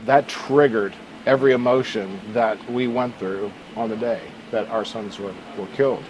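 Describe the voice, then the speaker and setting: A man's voice: on the low side, steady tone, normal speed, background noise. A man giving earnest interview answers in emphatic, short, repeated phrases. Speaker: interviewee, bereaved father.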